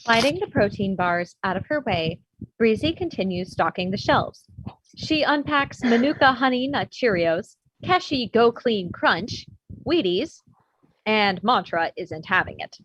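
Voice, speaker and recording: A woman's voice: on the high side, expressive, unhurried, fairly bright tone, husky. A woman giving scripted narration, reading stage directions for a comedy table read. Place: remote virtual table read. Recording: muffled video-call audio.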